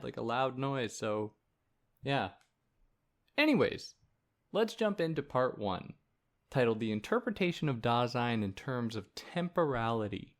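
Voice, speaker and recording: Soothing-voiced man explaining philosophy. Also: medium pitch. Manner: relaxed, conversational, with fillers and asides. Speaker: podcast host. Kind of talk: casual explanatory philosophy lecture.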